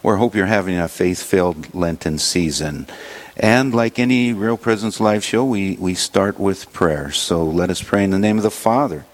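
A man hosting a religious radio show, leading prayer and introducing guests in a halting way with many fillers. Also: low voice.

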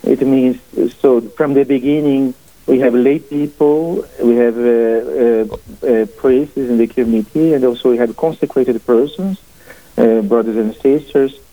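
A man's voice: medium pitch, steady tone. Portuguese-accented man on a phone line. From family from Portugal. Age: 40s. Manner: halting, many fillers and restarts. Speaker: priest guest and author. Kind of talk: radio interview answers. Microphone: phone call into studio.

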